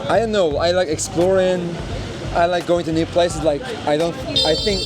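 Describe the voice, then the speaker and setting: A man's voice: high voice, steady tone, normal speed, heavy background noise. A young man from Turkey, a native Turkish speaker who teaches English, talking thoughtfully and sometimes searching for words. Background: background music.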